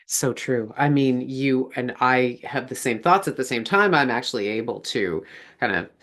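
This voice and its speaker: A woman hosting a casual vlog, talking in an expressive, playful way. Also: a bass voice, normal speed. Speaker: host.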